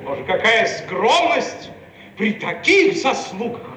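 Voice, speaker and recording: Very high-pitched fawning painter. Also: male voice, sing-song, slow pace, exaggerated voice. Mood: fawning, rapturous. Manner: obsequious, effusive, exclamatory. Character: fawning, gushing male court painter, servile flatterer. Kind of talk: theatrical satirical radio play acting. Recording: echoey, noisy archival radio recording.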